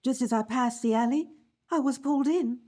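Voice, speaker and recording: A woman's voice: sits high, fairly quick, dark tone. A woman playing a frightened, distressed young daughter in a live mystery drama. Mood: shaken. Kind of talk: live radio-style mystery drama acting.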